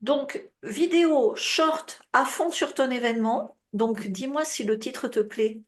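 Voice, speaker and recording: A woman coaching on a group call in a relaxed, chatty way, heavy with fillers and check-ins, her voice a bit worn with coughing. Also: on the high side, slow. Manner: casual, advising, many fillers and tu vois. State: coughing, voice tiring. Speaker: business coach.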